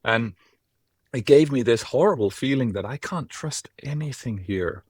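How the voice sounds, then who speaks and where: A man from Denmark, a former monk, telling his life story as a podcast guest in a reflective, wry way with lively asides. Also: mid-range voice, normal speed, slightly rough, dry recording, clean studio sound. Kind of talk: casual podcast conversation.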